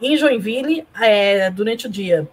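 A woman's voice: medium pitch, expressive, unhurried, slight background noise. A woman from Argentina whose first language is Spanish, chatting as a guest over a video call, laughing often and tagging her sentences with questions. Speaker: guest, professor and blogger. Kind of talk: casual interview chat. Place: home room.

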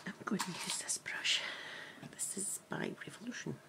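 Whispering Glaswegian woman, gravelly. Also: medium pitch, lively intonation, moderate pace. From Scotland. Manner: soft whisper, chatty and rambling. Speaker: ASMR creator. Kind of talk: whispered ASMR makeup role-play with rambling chat. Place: small room at home, indoors. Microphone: camera close to the face.

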